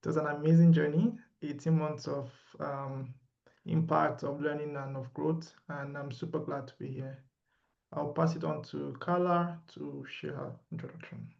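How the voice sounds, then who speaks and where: Man, gravelly and monotone, dull lo-fi sound, upbeat panel guest. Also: a little high, normal speed. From Nigeria. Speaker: program graduate. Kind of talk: panel discussion. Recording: dull lo-fi audio.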